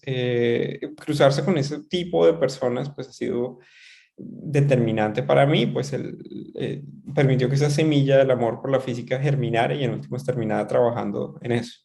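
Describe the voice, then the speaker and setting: A man's voice: medium pitch, vocal fry, a little mellow. Reflective Bogotá-accented man. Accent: Bogotá Colombian Spanish. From Colombia (Bogotá). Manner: reflective, measured, with frequent pauses. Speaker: astrophysicist guest. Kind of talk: interview answers.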